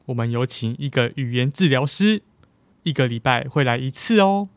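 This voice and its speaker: A man's voice: mid-range voice, animated, normal speed, no echo, close to an everyday voice. A man playing a supportive, plain-spoken father in a family radio drama. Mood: reassuring.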